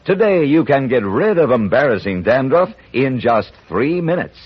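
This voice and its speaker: American guy doing old radio ad pitch, husky, really swingy intonation, muffled vintage sound. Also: sing-song, moderate pace. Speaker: commercial announcer.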